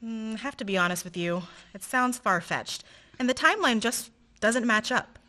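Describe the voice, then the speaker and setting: A woman's voice: on the high side, animated, moderate pace, bright, forceful tone. A woman playing a teasing, beguiling young female graduate student in an amateur radio play. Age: young adult. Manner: teasing, playful.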